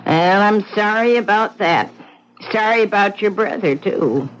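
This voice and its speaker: An actress playing an ominous older widow who warns of death with grim insistence, on a muffled old radio recording with faint hiss. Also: female voice, mid-range voice, lively intonation, unhurried, close to an everyday voice. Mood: foreboding.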